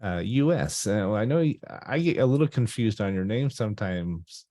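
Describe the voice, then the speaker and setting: A man's voice: fairly low pitch, expressive, moderate pace, mellow. A man hosting a talk radio interview over a video call, enthusiastic and rambling, with many uhs and restarts. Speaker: host.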